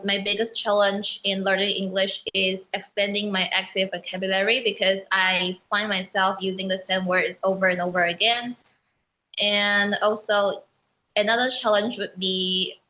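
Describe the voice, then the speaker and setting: Bright-voiced young woman from Malaysia. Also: mid-range voice, lo-fi sound. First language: Mandarin Chinese. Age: young adult. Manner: earnest, measured, with frequent ums. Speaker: guest. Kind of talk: casual video call interview. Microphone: video call.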